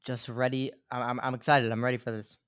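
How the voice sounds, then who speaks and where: A man in his twenties, a guest on a podcast, talking in a relaxed way with lots of filler words. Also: medium pitch, fast, clean tone, dry recording, studio quality. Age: 20s. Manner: relaxed, fills talk with "like". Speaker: podcast guest, gaming content creator. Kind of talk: casual interview chat.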